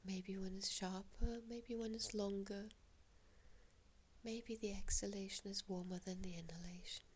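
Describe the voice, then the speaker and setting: A woman guiding a relaxation meditation in a soft, breathy, half-whispered voice, giving gentle, hushed instructions with long pauses. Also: medium pitch, steady tone, slight background noise.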